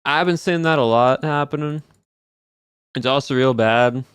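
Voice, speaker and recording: A man's voice: mid-range voice, a little slow, slight background noise. A man with a put-on American Southern accent and a lax drawl that draws out sentence endings, chatting casually on a game stream. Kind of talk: casual gameplay livestream chat.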